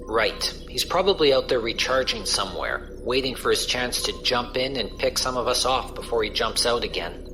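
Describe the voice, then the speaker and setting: A man's voice: medium pitch, expressive, moderate pace, over music, natural voice. A man playing a casual, wry space pirate crewman who explains things colloquially in a science fiction audio drama. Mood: wry, resigned. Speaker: voice actor.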